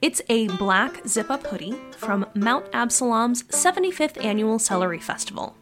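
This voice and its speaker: A woman chats through a podcast promo with wry humor. Her voice sits a little high, and soft music plays behind her. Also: animated, normal speed. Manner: chatty, wry. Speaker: podcast show member. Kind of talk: casual scripted merch promo.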